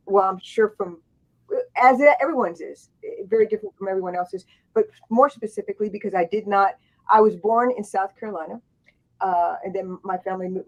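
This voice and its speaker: Woman author rambling thru a story on a panel, lots of restarts, kinda muffled lo-fi audio. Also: medium pitch. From grew up in Thailand and Japan. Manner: rambling, many restarts and asides. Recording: dull lo-fi sound.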